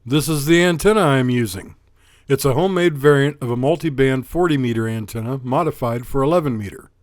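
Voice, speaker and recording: A man's voice: mid-range voice, fairly quick, no room sound. Man narrating a dry, close-miked explainer. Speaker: host and narrator of an electronics channel.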